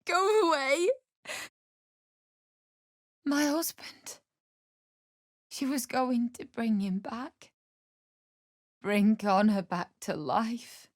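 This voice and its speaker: A woman's voice: on the high side, extremely slow, long pauses, dry recording, clean studio sound. An actress playing a desperate, bitter young widowed mother-to-be in a video game, with a British accent and an emotional, pleading delivery. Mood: tearful, desperate. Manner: emotional, tearful, pleading, prone to snapping. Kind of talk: video game character acting.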